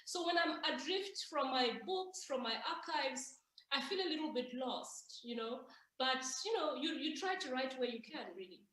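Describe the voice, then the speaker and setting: A woman from Zimbabwe, an author being interviewed, telling stories in an animated way with frequent restarts. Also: high-pitched, normal speed. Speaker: author guest. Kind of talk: conversational interview answers. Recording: echoey room.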